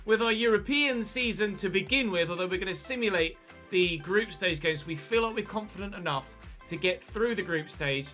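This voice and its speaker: A man commentating his football video game in a chatty way, breaking into excited shouts at big moments. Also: sits high. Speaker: gaming channel host.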